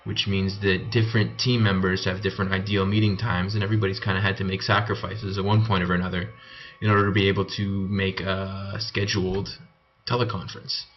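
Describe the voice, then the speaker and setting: A young man with a Canadian accent presents a team report in an informal way. His voice sits on the low side, and the recording is somewhat dull and lo-fi. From Canada (Montreal). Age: young adult.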